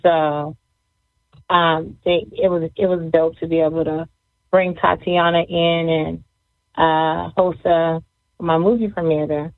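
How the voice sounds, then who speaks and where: Even, low-pitched woman on a phone line. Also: takes it slowly, no echo. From Jamaica, grew up in Las Vegas. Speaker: interview guest, author. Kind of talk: casual interview answers. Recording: dry, thin phone-like audio.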